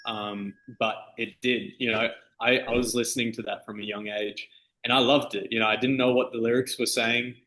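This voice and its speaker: A man's voice: medium pitch, little pitch movement, moderate pace, sharp tone, poor audio. A man, a DJ, chatting casually in an interview with loose, easygoing phrasing and plenty of fillers. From Australia. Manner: easygoing, loose, full of fillers like "you know" and "like". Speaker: DJ and guest.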